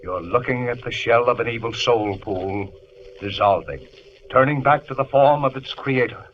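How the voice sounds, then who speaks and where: An actor playing a resolute, grave gentleman in an old radio horror drama, dramatic and commanding. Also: medium pitch, normal speed, lightly acted. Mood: solemn. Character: resolute, grave gentleman investigating his friend's disappearance. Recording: dull, hissy old radio recording.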